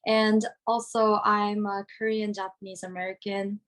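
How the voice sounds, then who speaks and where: A young woman, a university student, giving an unscripted personal reflection, halting often to search for words. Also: medium pitch, level tone, fairly bright tone. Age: young adult.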